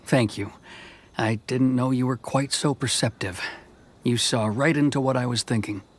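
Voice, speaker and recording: An actor playing a dutiful, conflicted officer in a video game, speaking in a measured, formal way. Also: male voice, mid-range voice, a little hiss or hum, natural voice. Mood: reflective, restrained. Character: stern, dutiful officer man, conflicted but soft-hearted. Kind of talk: dramatic game dialogue acting. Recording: music behind the voice.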